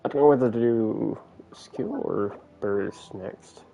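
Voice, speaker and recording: A man's voice: fairly low pitch, slow, creaky, dark tone, lo-fi sound. A man giving casual, informal commentary as he livestreams a video game, thinking aloud as he plays.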